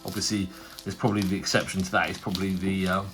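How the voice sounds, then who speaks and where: A man's voice: sits low, flat delivery, quick pace, music in the background. Stuffy-nosed man chatting casually. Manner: chatty, rambling, frequent fillers and restarts. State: nasal from a cold. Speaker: tabletop wargaming channel host. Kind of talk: casual unboxing commentary.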